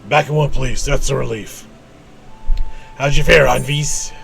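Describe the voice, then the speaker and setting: A man's voice: medium pitch, steady tone, a little slow, creaky, a bit bright, soft background music. Man reading as a grumbling plain-spoken fantasy working man. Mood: aggrieved, warning. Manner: rustic, old-fashioned phrasing, aggrieved. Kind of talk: reading game quest dialogue in character.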